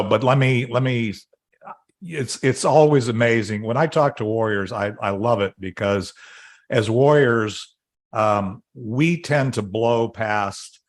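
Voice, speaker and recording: A middle-aged man hosting a podcast interview, talking in a rambling way with many fillers and restarts. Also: fairly low pitch. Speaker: podcast host. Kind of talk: casual interview chat.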